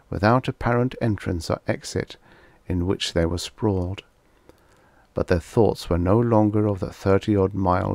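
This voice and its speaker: A man narrates an audiobook in a fairly low voice with plenty of gravelly creak. He reads scripted fiction, and the sound is dry and close. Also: a little mellow, dry recording.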